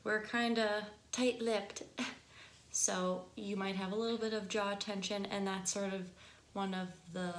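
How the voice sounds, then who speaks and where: A woman from the northern United States with an Upper Midwest accent and a nasal voice, chatting casually as a singing teacher and laughing easily. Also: medium pitch. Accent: Upper Midwest American. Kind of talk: casual instructional talk to camera. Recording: echoey room.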